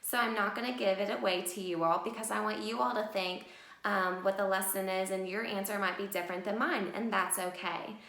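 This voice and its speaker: A woman's voice: mid-range voice, fairly even intonation, brisk, pushed and bright. A woman teacher reading a picture book to young children and explaining it simply and expressively. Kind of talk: children's read-aloud and mini lesson. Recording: echoey room.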